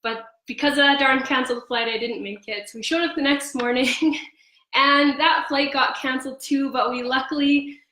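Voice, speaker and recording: A young woman with a slightly hoarse voice, chatting casually on a live cooking stream with frequent ums. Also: sits high, fairly even intonation, moderate pace, sharp tone. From Canada. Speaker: host of a cooking livestream. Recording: echoey room.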